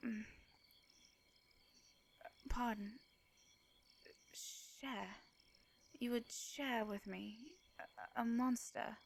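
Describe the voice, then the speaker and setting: High-voiced, shy English vampire woman, played by an actress. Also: steady tone, drawn-out words, vocal fry, long pauses, slight background noise, full character voice. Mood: flustered, hesitant. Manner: formal archaic phrasing, hesitant, flustered stammering. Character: shy, formal female vampire, flustered and tender. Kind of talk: roleplay audio drama, intimate storytelling.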